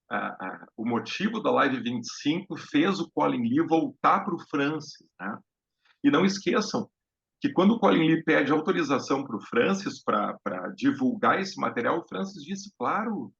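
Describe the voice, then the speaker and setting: A man from Brazil hosts a casual live-stream lecture, stopping to restart his sentences and filling pauses as he goes. His voice has a gravelly edge. The sound is dull and lo-fi, like a home stream. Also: medium pitch, a bit bright. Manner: hesitant, many fillers and restarts. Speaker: host of a live talk on music therapy.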